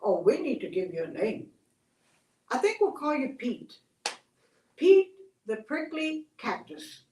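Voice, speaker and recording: A woman voicing a small, chatty female lizard who is pleading and excitable, in a storytelling session. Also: high voice, takes it slowly, pauses often, echoey room, recorded from a distance, a little hiss or hum, pitched above the performer's own voice, exaggerated voice. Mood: cheerful. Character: small hunted female lizard seeking a friend, chatty, grateful.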